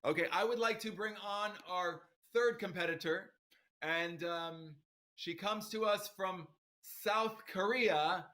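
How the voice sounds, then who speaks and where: A middle-aged man hosts a livestream in a bright, slightly raised voice. He chats loosely and cracks jokes, often restarting his sentences. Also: a little high. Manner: chatty, joking, with frequent fillers and restarts. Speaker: host of a violin competition livestream. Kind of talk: casual livestream hosting.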